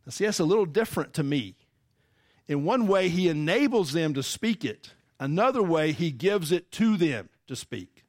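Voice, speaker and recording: A man's voice: on the high side, expressive, normal speed, a little mellow, dry recording, clean studio sound. A man preaching a sermon, teaching emphatically and asking the congregation rhetorical questions. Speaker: pastor.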